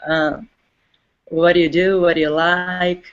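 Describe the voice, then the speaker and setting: An interview host who introduces the guest and asks questions with halting starts. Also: medium pitch, level tone, clean tone. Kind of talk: interview hosting. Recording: muffled lo-fi recording.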